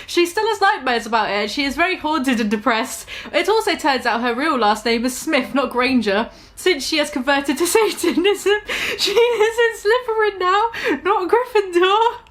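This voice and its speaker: A woman's voice: high voice, animated, normal speed, a bit bright. A woman reading a story aloud as comic dramatic narration, theatrical and amused, barely keeping a straight face.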